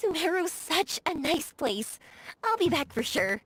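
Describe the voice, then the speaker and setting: A woman playing a bubbly, warm-hearted young woman in an anime-style video game, gushing and earnest in her delivery. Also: high-pitched, very animated, unhurried, heavily acted. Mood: gentle, content.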